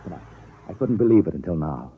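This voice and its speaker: A man acting in a 1950s radio drama as a compassionate, authoritative captain, earnest and deliberate, on a muffled old recording. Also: extremely low pitch, lively intonation, a little hiss or hum. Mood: grave, gentle. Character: compassionate, authoritative male spaceship captain, grave and understanding.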